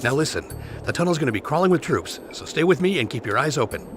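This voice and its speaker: A terse, commanding special-ops agent. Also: male voice, on the high side, sing-song, fast talker, faint music behind. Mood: terse, calm. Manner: terse, giving orders. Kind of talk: video game cutscene voice acting.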